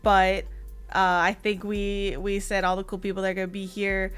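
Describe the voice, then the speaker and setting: A woman hosting a casual livestream chat, her voice hoarse after losing it, giggling and chatting to her viewers. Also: mid-range voice, level tone, moderate pace, soft background music. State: hoarse, partly lost voice. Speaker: streamer host.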